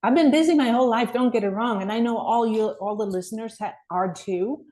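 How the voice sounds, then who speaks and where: A woman's voice: on the high side, brisk, warm tone. A middle-aged woman from Denmark, a mindset coach, telling her story earnestly as a podcast guest. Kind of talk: podcast interview.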